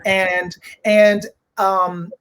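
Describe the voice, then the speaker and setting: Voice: medium pitch, level tone, very slow, a bit bright, slight background noise. A host in their forties chatting conversationally about an arts project, with a mid-range voice. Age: 40s. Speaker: host and director discussing a dramatic reading. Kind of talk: conversational fireside chat.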